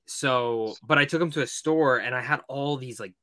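A man's voice: mid-range voice, normal speed, sharp tone, no room sound. A man hosting a podcast, chatting informally with swearing and lots of "like". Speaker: podcast host. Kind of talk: casual podcast chat.

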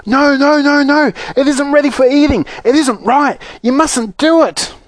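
Casting: narrator doing eccentric male confectioner for a storybook, fussy, anxious, sighing, pitched up high. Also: huge pitch swings, fairly quick, no room sound. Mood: anxious pleading.

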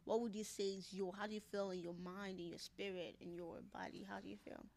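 A woman with a mid-pitched voice hosts a casual, heartfelt conversation. She speaks reflectively and personally, often repeating a phrase to press the point home. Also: fairly even intonation, a little fast, creaky, darkish tone. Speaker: podcast host interviewing a guest.

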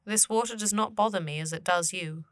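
A woman playing a calm otherworldly guardian and guide of the dead in a fantasy audio drama, with formal, measured phrasing. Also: on the low side, no echo, studio quality, lightly acted. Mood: calm, assured. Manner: measured, formal, avoids contractions.

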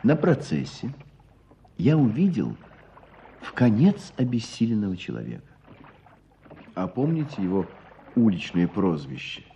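An actor playing an earnest, compassionate storyteller, narrating village life in a radio drama. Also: male voice, on the low side, big pitch swings, measured pace, pauses often, mellow, a little hiss or hum. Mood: solemn. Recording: dull muffled radio play recording.

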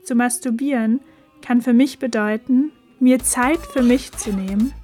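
A woman in a radio play giving a relaxed, personal confession. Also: a little high, unhurried, soft background music. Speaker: performer in an audio play. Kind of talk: scripted educational radio play reading.

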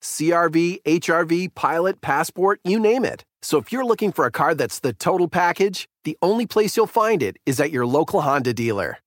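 A man's voice: a little high, very animated, normal speed, few pauses, dry recording, clean studio sound. Upbeat man reading a car ad. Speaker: ad announcer. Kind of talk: scripted ad read.

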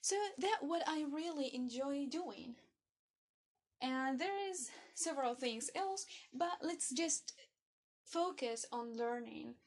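A high-pitched young woman whose first language is Arabic talks slowly and earnestly, urging listeners to find what they enjoy. She halts often, repeating words and restarting her phrases as she searches for them. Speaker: motivational speaker. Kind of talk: motivational monologue to camera.

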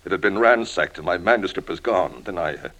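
An older man acting in a 1950s radio drama as a washed-up alcoholic crime reporter, self-pitying and wheedling, with halting, pleading speech. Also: low voice, lively intonation, a bit bright, low-quality recording. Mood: sheepish, pleading.

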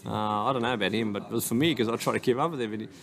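A man's voice: mid-range voice, rapid-fire, vocal fry, a bit bright. An Australian man in his thirties with a head cold, chatting and teasing his podcast co-host. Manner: chatty, teasing, talks a lot. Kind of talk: casual chat.

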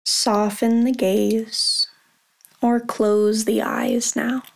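A woman guiding a meditation in a slow, unhurried voice with a gravelly, fry-tinged texture. Her pitch stays level and even, with little rise or fall. She speaks softly and calmly, leaving long pauses between phrases. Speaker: meditation guide. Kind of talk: guided meditation.

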